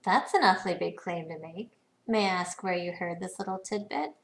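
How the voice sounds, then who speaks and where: A woman acting a savvy, poised businesswoman in a video game, speaking in a dry, sardonic, measured way. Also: fairly low pitch, fairly even intonation, gravelly, a bit bright. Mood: cool, sardonic.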